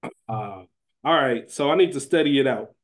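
A man's voice: mid-range voice, lively intonation, normal speed. Casual, slangy man podcasting. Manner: informal, slangy, with some swearing. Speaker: podcast co-host. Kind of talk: casual chat; fantasy draft discussion of a TV show.

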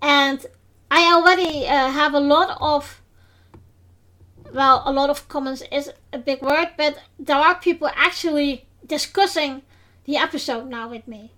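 A woman's voice: high voice, a little slow, pushed and bright. A woman with a Dutch accent, a non-native English speaker, hosting a casual podcast chat and talking hesitantly with many fillers and restarts. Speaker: podcast host.

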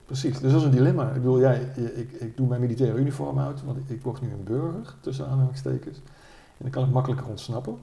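A man's voice: mid-range voice, quick pace, vocal fry, mellow, distant mic. A man explaining things conversationally in Dutch, as an expert being interviewed. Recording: echoey room.

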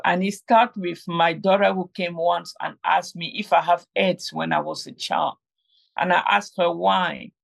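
Woman guest, middle-aged, gravelly tone, halting, lots of restarts. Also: fairly low pitch. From Cameroon. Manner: hesitant, many fillers and restarts. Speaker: guest, game inventor. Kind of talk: conversational interview.

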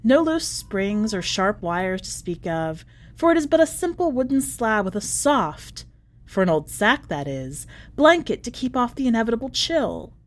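A woman's voice: on the high side, sing-song, normal speed. A woman voicing an imprisoned letter writer with brittle genteel cheer and bitter irony, in mannered, over-polite phrasing, for a story reading. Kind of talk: dramatic short story reading.

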